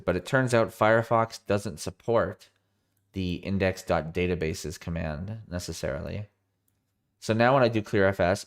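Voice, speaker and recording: A man with a cold walking through his code on a live stream, tired and thinking aloud, often correcting himself. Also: on the low side. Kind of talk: live coding walkthrough.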